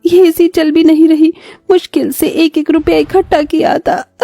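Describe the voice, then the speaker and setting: A woman's voice: very high voice, level tone, slow, dark tone, no room sound, exaggerated voice. A woman playing a clever, tender-hearted poor village daughter in a cartoon moral story. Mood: tearful.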